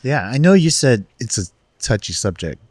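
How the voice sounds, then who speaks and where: Lively-voiced male podcast host. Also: mid-range voice, moderate pace, no room sound, studio quality. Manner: friendly, encouraging, short backchannel replies. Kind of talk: casual podcast hosting.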